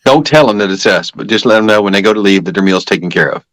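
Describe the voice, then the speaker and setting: A man's voice: fairly low pitch, fast, a bit bright, no room sound, rough recording. A man speaking as a podcast guest, telling personal testimony conversationally and emphatically, acting out bits of dialogue.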